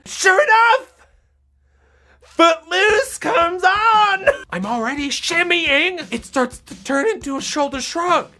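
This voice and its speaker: Young woman spinning a goofy story, high bright voice, unhurried, soft music under it. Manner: animated, bursts into shouts and laughter. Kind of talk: comic personal storytelling.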